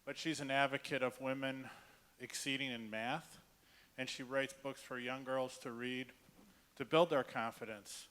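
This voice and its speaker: A man's voice: medium pitch, fairly even intonation, unhurried, fairly bright tone. A man in a conference audience making a friendly, conversational comment. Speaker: audience member.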